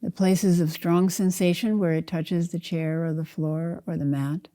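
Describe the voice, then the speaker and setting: An older woman leading a guided meditation as a Zen teacher, speaking in a calm, unhurried, measured way with spaced-out phrases. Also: fairly low pitch.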